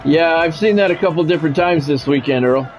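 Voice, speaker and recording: Higher-voiced man calling a football video game. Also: fairly quick, faint music behind, low-quality recording. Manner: announcer-like calls with casual asides. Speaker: streamer and play-by-play commentator. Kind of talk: live sports game commentary mixed with chat.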